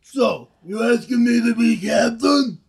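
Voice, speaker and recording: A man voicing a sad, sulky big brother in a sitcom table read, glum and sarcastic, with a gravelly, husky voice. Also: very high-pitched, unhurried, darkish tone, dry recording, full character voice. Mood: bitter.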